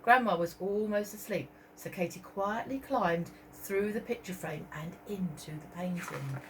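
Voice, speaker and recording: A woman reading a story aloud to young children as a teacher, expressively, pausing to point out pictures and ask questions. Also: medium pitch, slight background noise, low-quality recording. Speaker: primary school teacher.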